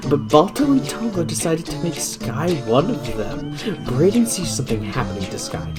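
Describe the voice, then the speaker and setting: A medium-pitched voice reads fan fiction aloud as a dramatic narration. The delivery stumbles and is prone to giggly breaks, and music plays clearly behind the voice. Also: sing-song, normal speed. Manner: stumbles and breaks into laughter while reading. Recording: music under the reading.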